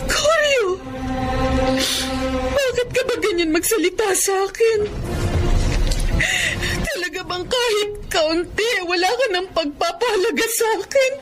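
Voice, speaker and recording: High-pitched, long-suffering Filipino wife. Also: female voice, expressive, a little slow, long pauses. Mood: anguished, crying. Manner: plaintive, emotional. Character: long-suffering battered wife and mother, pleading, tearful. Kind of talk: Filipino radio drama acting. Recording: music bed behind the voice.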